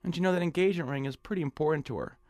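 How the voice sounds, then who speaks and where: An actor playing a nervous, hapless hypochondriac in an audio drama, fretful and stammering. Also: male voice, on the high side, racing, darkish tone, no room sound, studio quality, lightly acted. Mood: eager, excited. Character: nervous, whiny nebbish hypochondriac man, eager and hapless. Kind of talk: audio drama acting in old-time radio suspense style.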